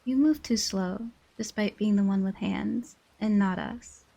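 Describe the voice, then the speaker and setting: A woman's voice: medium pitch, unhurried, creaky, mellow, husky. A woman voicing a stern, haughty inhuman guardian in a scripted dramatic monologue, formal and imperious. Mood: grave, commanding.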